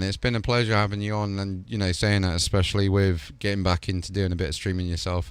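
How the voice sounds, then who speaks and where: Deep-voiced enthusiastic gaming host. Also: male voice, smooth, dry recording. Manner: enthusiastic, promotional wrap-up. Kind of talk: casual showcase chat and promo.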